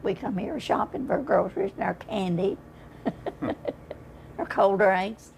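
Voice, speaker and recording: An elderly woman recalls the old days in short, plain answers. Her voice is gravelly with a crackly fry. She speaks very slowly and without hurry. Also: medium pitch, expressive, a little mellow. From Holland, Arkansas. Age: 80s. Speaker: interviewee, local elder. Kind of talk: reminiscing in an interview.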